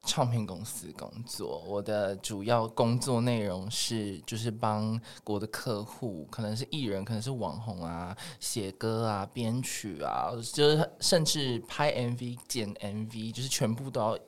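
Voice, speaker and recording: Taiwanese man, animated and detailed. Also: fairly low pitch, measured pace, few pauses. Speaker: guest music producer. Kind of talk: casual podcast interview chat.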